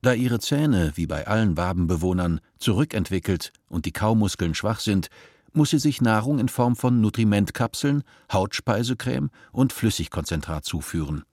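A man narrating a radio drama in an even, measured storytelling voice. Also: fairly low pitch, no echo, studio quality. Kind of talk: scripted narration.

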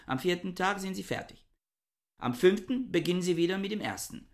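A man's voice: sits high, lively intonation, fairly quick. An actor playing a reclusive, pedantic male book scholar in a radio play, speaking in a stiff, formal, demanding way.

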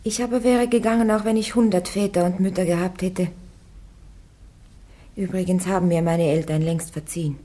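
An actress playing a devout young woman warrior on trial, answering her judges firmly and calmly in a radio drama. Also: fairly low pitch, moderate pace, natural voice. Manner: firm, calm, defiant answers.

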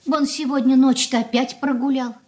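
An actress playing an anxious, meek middle-aged mother in a radio drama, with plaintive, gentle, folksy speech. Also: high voice, fairly even intonation, moderate pace, slight background noise, natural voice. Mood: plaintive worry. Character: anxious, meek, pious, lamenting mother of grown son. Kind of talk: Russian radio drama acting.

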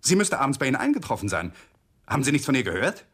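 An actor playing an evasive, weary young married lawyer, hesitant and guarded, in radio play dialogue. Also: male voice, medium pitch, big pitch swings, fast, a bit bright, slightly rough. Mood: polite, uneasy.

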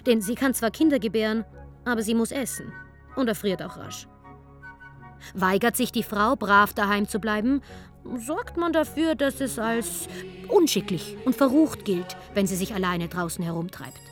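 An ironic female narrator played by an actress delivers scripted satire in a matter-of-fact way. Her voice is at a middle pitch, with faint music behind it. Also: animated, normal speed, lightly acted.

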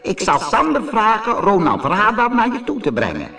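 A man's voice: high-pitched, wildly swooping pitch, normal speed, recorded from a distance, over music, pitched above the performer's own voice, exaggerated voice. A man voicing a helpful old granny computer that calls out like an announcer, in a children's storybook. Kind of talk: children's audiobook character voice.

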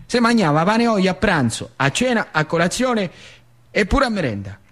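Old factory hand, northern Lazio accent, earthy nostalgic storyteller for stage monologue, lively highish male voice. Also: normal speed, exaggerated voice. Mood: nostalgic, relishing. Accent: central Italian, northern Lazio. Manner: colloquial, rambling, confiding. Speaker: stage actor. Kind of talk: theatrical monologue in dialect.

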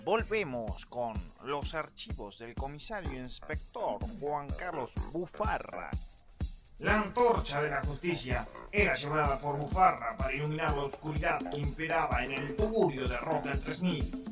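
An actor playing a grandiose narrator of a mock-heroic police serial, melodramatic, with an Argentine accent, in old radio style. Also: male voice, on the high side, animated, normal speed, natural voice. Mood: suspenseful. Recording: music behind the voice.

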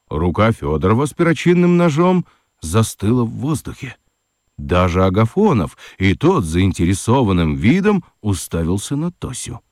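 A man narrates a children's radio story in an expressive, scripted style. His voice is low and swings widely in pitch, recorded close and dry. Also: dry recording. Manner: expressive, dramatic reading.

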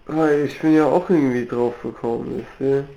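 A man rambling casually in a halting, slangy and crude way. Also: medium pitch, fairly even intonation, vocal fry, warm tone, rough recording.

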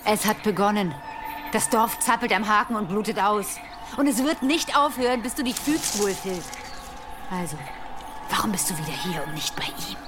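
An older woman playing a bitter old crone in a German audio drama, foreboding and scornful, at times breathy. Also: medium pitch, lively intonation, normal speed, over music, close to an everyday voice. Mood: grim warning. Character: bitter old crone, grim keeper of dark lore.

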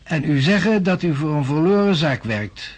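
An actor playing a self-assured, skeptical male physics professor in a radio drama, husky and pompously lecturing. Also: fairly high pitch, animated, normal speed. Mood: assertive.

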